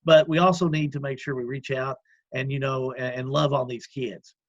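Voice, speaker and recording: A man with a loud voice, an executive director talking earnestly in a casual interview, with many fillers and restarts. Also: mid-range voice, fairly even intonation, moderate pace, no echo, dull audio. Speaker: community action executive director. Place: at home, remote call.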